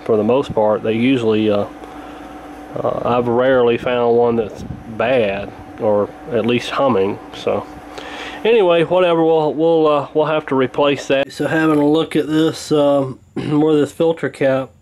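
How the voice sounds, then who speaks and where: Folksy man over a steady room hum. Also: medium pitch, unhurried, low-quality recording. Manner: folksy, colloquial, thinking aloud. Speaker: radio repair hobbyist presenting. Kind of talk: casual hands-on repair walkthrough.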